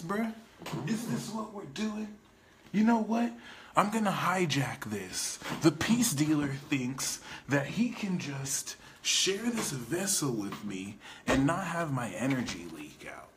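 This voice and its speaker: A man voicing a smug, dark male spirit that claims to live inside him and take over his broadcast, first mocking and then hushed. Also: slight background noise. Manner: mocking, then low and conspiratorial.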